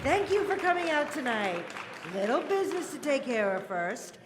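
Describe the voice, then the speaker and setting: High-pitched, bossy rock club emcee. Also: female voice, very animated, moderate pace. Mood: brash, commanding. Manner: commanding, teasing. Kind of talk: audio drama stage announcing. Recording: club crowd and music behind. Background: crowd and music.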